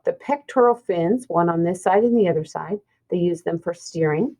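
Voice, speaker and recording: A woman's voice: lowish voice, moderate pace, mellow. A woman teacher reading a children's science book aloud, explaining and pointing things out simply.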